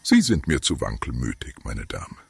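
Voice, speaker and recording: A man playing a stiff, haughty butler in a comedy radio play, speaking formally and with dry defiance in a gravelly, husky voice. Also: rumbling low, wildly swooping pitch, normal speed, no room sound, heavily acted. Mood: coolly defiant.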